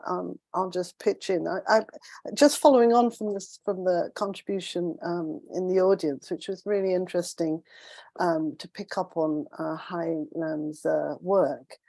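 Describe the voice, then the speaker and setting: Mellow thoughtful woman on a panel. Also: fairly low pitch, gravelly, no room sound. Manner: thoughtful, searching, frequent restarts and rephrasing. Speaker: panelist asking questions. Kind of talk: panel discussion.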